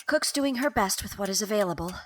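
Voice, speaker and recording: A woman's voice: mid-range voice, animated, fast talker, slight background noise, natural voice. A woman playing a wry, composed Victorian mother in an audio drama, with a formal, dry manner. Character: wry, proper middle-class Victorian mother.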